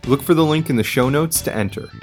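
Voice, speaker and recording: A man with a medium-pitched voice speaks as a player and announcer on a role-playing game podcast. Plucked guitar music can be heard behind him. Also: fast. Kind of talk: tabletop play and podcast announcements.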